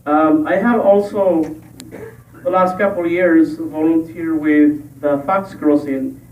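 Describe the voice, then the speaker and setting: A man from Ecuador, a school board candidate, giving a halting forum speech with many pauses and fillers. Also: on the high side, steady tone. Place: library meeting room. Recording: echoey room, tinny and distant.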